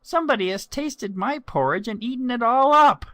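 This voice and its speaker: A man's voice: very high-pitched, lively intonation, normal speed, bright, forceful tone, no echo, pitched above the performer's own voice, heavily acted. A man voicing a small young bear in a storybook, piping and aggrieved. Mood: plaintive, indignant.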